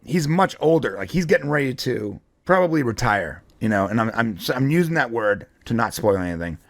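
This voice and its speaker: Male host, mid-pitched, conversational and enthusiastic explainer for casual pop culture commentary, dry recording. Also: big pitch swings, a little fast, creaky, dry recording. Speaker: show host and comic book fan commentator.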